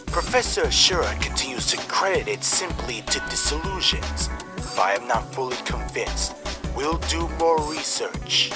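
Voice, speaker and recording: A man voicing a measured professor who dictates research logs in a comic dub, formal and deliberate. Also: medium pitch, lightly acted. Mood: clinical, doubtful. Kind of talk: comic dub, scripted log narration. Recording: prominent background music.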